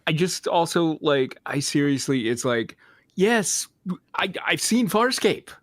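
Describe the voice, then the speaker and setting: A man giving casual comic commentary in a halting, exasperated way. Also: a little high, very animated, no room sound.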